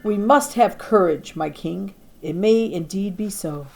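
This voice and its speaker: A woman's voice: medium pitch, unhurried, vocal fry, soft background music. A woman reader voicing a young girl who plays a brave queen, speaking in grand, theatrical, old-fashioned phrases for a storybook. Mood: brave, solemn. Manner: theatrical, grand archaic speech. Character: young girl playing a brave, regal make-believe queen.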